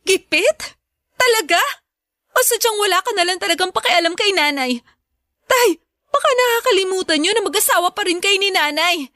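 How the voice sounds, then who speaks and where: An actress playing a wounded, defiant young daughter in a radio drama, speaking emotionally and accusingly. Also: female voice, very high-pitched, animated, moderate pace, pushed and bright, no room sound, studio quality, exaggerated voice. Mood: hurt, accusing. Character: wounded, defiant daughter pleading with and confronting her father. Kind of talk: Filipino radio drama acting.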